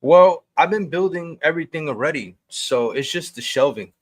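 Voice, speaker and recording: A man's voice: a little high, animated, moderate pace, no echo, clean studio sound. A man being interviewed on a video call, laid-back and easygoing, often repeating himself and laughing. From New York state. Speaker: guest. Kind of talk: casual interview chat.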